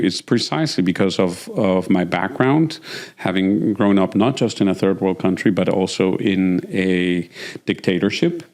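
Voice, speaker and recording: Man in his 40s from mexico, really low voice, slow and deliberate host. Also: darkish tone. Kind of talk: conversational interview.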